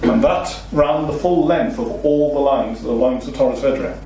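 A man's voice: medium pitch, measured pace. A middle-aged man with a Welsh accent delivers a seminar lecture, explaining points steadily and throwing in rhetorical questions. His voice is caught by a distant mic in a very echoey meeting room. A faint hum of room noise sits underneath. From Wales. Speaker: seminar speaker. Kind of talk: illustrated lecture to a seated audience. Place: meeting room with a seated audience. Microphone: far room recording, no mic seen.

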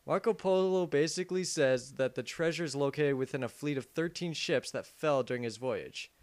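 A man's voice: fairly high pitch, normal speed, smooth, close to an everyday voice. A man voicing a cocky, crude, reluctant adventure hero in an amateur parody game dub, with casual comic delivery. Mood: self-important.

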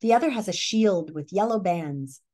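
A middle-aged American woman gives a picture book read-aloud at an everyday mid-range pitch. Her delivery is expressive and instructive, moving between the text and questions addressed to young listeners. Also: normal speed. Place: living room, indoors.

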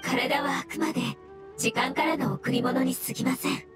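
Woman as a high-voiced solemn mushroom spirit. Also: steady tone, moderate pace, lightly acted. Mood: serene, reverent. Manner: formal, polite, earnest. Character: gentle solemn floating mushroom spirit, earnest and courteous. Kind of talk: Japanese anime game voice acting. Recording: game music behind.

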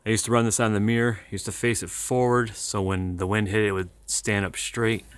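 Casual vlogger, American male, crisp bright voice, rambling and unpolished, dry close sound. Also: on the low side, little pitch movement, fairly quick, no echo. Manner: rambling, self-deprecating. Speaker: vlogger, former truck driver. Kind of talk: casual product showcase vlog. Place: inside a parked vehicle, later outdoors beside it.

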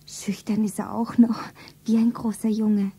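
A woman's voice: medium pitch, little pitch movement, measured pace, mellow, slightly rough. An actress playing a naive, cheeky young shop girl in a girlish, artless manner in a radio play. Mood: dreamy, confiding. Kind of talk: 1970s German radio play acting. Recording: noisy 1970s radio play recording.

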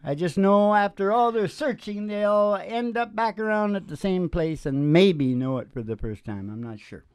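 An older man chatting casually as a show host and singer, in a halting, opinionated, wry manner. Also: sits high, lively intonation, a little mellow, clean tone, no echo. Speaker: host and singer of a father-daughter music podcast. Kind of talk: casual rambling chat between songs. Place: small dim curtained stage indoors. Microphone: microphone on a stand, close to mouth. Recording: dull lo-fi live sound with acoustic guitar. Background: acoustic guitar music.

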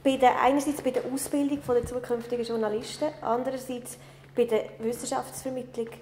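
A woman, asking an interviewee polite, measured questions in an office. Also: a little high, flat delivery, mellow, reverberant, a little hiss or hum. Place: office, indoors.

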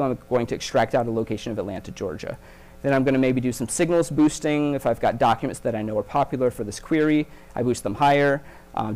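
Keynote speaker, male, mid-pitched voice, explanatory delivery with many fillers. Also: a little mellow. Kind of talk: conference keynote talk. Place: conference stage.

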